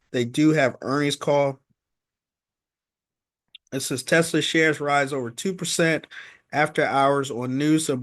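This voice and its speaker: Male finance vlogger, mid-range voice, informal and halting recap style, circles back on points, close dry sound. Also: mid-range voice, fairly bright tone, no room sound. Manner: halting and repetitive, punctuating points with "okay?". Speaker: host of a stock market recap. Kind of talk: informal stock market commentary.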